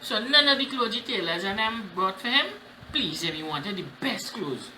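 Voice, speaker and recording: A man's voice: sits high, animated, normal speed, sharp tone. A man with a Trinidadian accent hosts a children's storytime, reading expressively and explaining phrases in simple words. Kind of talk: animated picture-book reading. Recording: roomy echo with faint noise.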